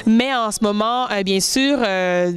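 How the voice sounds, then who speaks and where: A woman from Montreal, a choreographer speaking earnestly in an interview, measured and with frequent hesitant pauses. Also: medium pitch. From Canada (Montreal, Quebec). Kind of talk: interview reflection.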